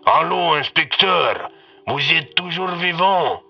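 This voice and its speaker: A man voicing a mocking, sadistic, falsely friendly villain in an audio drama, slow and theatrical, over a radio. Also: a little high, lively intonation, vocal fry, husky, lo-fi sound, voice pushed up, exaggerated voice. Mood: taunting. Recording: band-limited radio sound with music.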